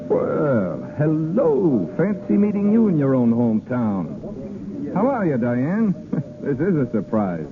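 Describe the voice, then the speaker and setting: An actor playing a bitter, wisecracking jilted bachelor in 1930s radio drama style, clipped, sardonic and slangy, on a muffled old broadcast. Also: male voice, a little high, huge pitch swings, slow pace, crowd noise, exaggerated voice. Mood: guarded, casual.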